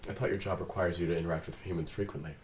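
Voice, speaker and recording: A man reading the part of a calm, formal, earnest android detective in a drama script. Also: low-pitched, racing, natural voice. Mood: calm, curious. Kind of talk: table read of a drama script. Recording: roomy, faint noise.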